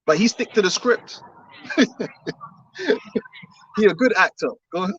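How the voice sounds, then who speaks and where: A man's voice: high voice, a little slow, a bit bright, dull audio, a little hiss or hum. A man preaching outdoors on the street, emphatic and repetitive, often laughing between his points.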